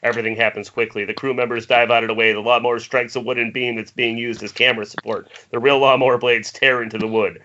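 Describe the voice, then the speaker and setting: A man's voice: mid-range voice, level tone, fast talker, few pauses, bright, forceful tone, highs cut off. A man narrating the stage directions of a screenplay at a table read over an internet call. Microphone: internet video call.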